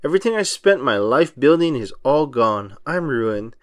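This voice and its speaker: A man's voice: medium pitch, very animated, normal speed, no room sound, close to an everyday voice. A greedy old crab boss from a cartoon storybook, put on by a man. He is blunt and salty like an old sailor, with a melodic, dramatic swing in his speech and a dry, close sound. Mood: defeated. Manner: salty nautical turns of phrase.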